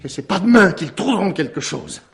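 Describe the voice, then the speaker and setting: An actor in a French radio mystery drama, playing a defensive, weary suspect with a sardonic, short-tempered manner. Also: male voice, sits high, sing-song, gravelly, breathy edge, heavily acted. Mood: angry, accusing. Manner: volatile, sardonic, halting when pressed.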